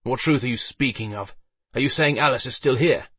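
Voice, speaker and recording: A man's voice: mid-range voice, a little fast, pushed and bright, breathy edge, no room sound, distant mic, pitched above the performer's own voice. A man voicing a proud, demanding knight in an audiobook, commanding and formal, with menace. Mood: stern, threatening. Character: proud, furious male knight, demanding and threatening.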